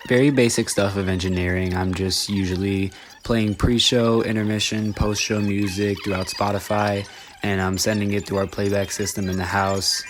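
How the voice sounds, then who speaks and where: A young man in his late teens with a deep voice, casually explaining sound equipment in a theater booth. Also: slight background noise. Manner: relaxed, chatty explaining. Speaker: student sound engineer.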